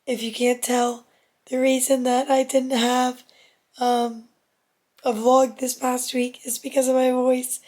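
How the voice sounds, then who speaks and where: A young adult woman chatting casually to her vlog camera, hoarse from losing her voice, with lots of "like" fillers. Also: high-pitched, flat delivery, slow, a little mellow. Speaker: vlogger.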